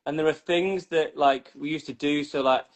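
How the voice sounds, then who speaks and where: Brief: British male comic, slightly high voice, rambling and self-deprecating on a video call. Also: fairly even intonation, moderate pace. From Newcastle, England. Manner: rambling, many "like" fillers, self-mocking. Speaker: stand-up comedian. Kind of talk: casual chat about his act.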